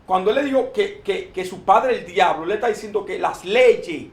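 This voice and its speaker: Halting man reading scripture, echoey room. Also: high-pitched. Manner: reads verses haltingly, repeats words. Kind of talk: informal Bible discussion.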